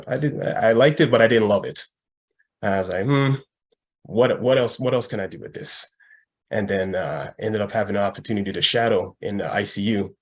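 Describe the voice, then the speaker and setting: A man's voice: on the low side, husky. A man who works as an ICU nurse, giving a hesitant talk on a video call and often repeating his words.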